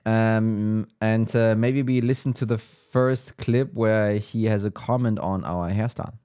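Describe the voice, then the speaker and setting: A man co-hosting a business podcast, chatting in a relaxed way with fillers and laughs. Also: lowish voice, unhurried, clear, resonant tone. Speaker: podcast co-host. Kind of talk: casual business chat.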